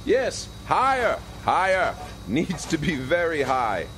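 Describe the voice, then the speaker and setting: A man's voice: on the high side, very animated, slow, fairly bright tone, a little hiss or hum, pitched above the performer's own voice, heavily acted. A man playing a pompous, petulant, foolish king in a comedic fantasy audio drama, blustering with theatrical indignation. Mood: stubborn, boastful.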